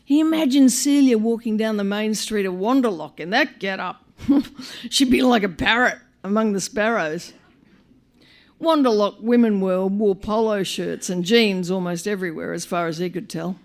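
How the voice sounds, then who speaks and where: A woman reading a short story live to an audience, with wry comic narration, dramatic emphasis and deliberate pauses. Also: mid-range voice. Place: live on stage at a performance venue.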